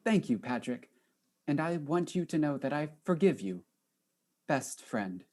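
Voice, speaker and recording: A woman playing a polite, earnest robot chief with formal, measured speech in a comedic audio drama. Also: low-pitched, big pitch swings, a little mellow. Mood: wistful, sincere.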